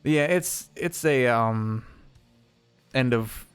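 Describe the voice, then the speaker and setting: A man's voice: mid-range voice, drawn-out words, clear, resonant tone, faint music behind. A man streaming a video game live, chatting casually with viewers, rambling with lots of fillers as he loots and sorts gear.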